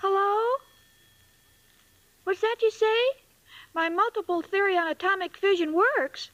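A woman's voice: extremely high pitch, unhurried, a bit bright, no room sound, muffled recording. An actress playing a sweet, scatterbrained young woman with a naive, guileless way of talking, in old-time radio comedy. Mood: cheerfully clueless. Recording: slightly noisy vintage radio recording.

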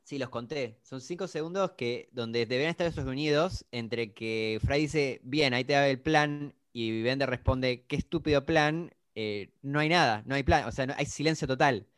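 A man's voice: mid-range voice, moderate pace, hardly any pauses, a bit bright, dry recording, studio quality. An Argentine man, chatting casually as a podcast co-host and retelling cartoon scenes in detail. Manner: storytelling, recounting scenes. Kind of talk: casual chat.

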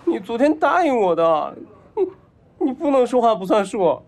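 A man's voice: very high-pitched, very animated, a little slow, darkish tone, heavily acted. An actor playing a lovestruck, spoiled young rich man in an audio drama, clingy and naive, with an eager, whiny, childishly earnest way of talking. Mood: pleading, whiny. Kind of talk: Chinese audio drama acting.

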